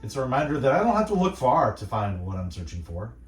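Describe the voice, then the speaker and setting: A man hosting radio, delivering reflective, encouraging monologues in a measured, earnest way with deliberate pauses. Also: mid-range voice, big pitch swings, reverberant, recorded from a distance. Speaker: radio host and station manager.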